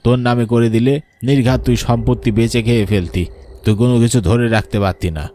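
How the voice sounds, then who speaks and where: A man's voice: mid-range voice, quick pace, dark tone, close to an everyday voice. A man voicing a grave, vengeful ghost of a wronged elder brother, scolding in a horror cartoon. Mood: reproachful. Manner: scolding, reproachful. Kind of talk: Bengali horror cartoon acting.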